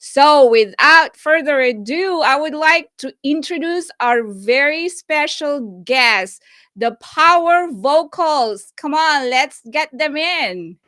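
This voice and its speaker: A woman's voice: sits high, animated, slow pace, sharp tone, no room sound. A woman, upbeat and enthusiastic as she hosts a live show and mixes in Tagalog phrases. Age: 50s. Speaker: host.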